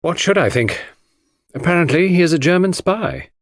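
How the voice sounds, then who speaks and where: A man's voice: high-pitched, normal speed, dry recording, studio quality, voice pushed up. A man voicing a proud, cold and bitter Englishwoman with a cool, mocking, clipped manner in an audiobook. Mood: icy, dismissive.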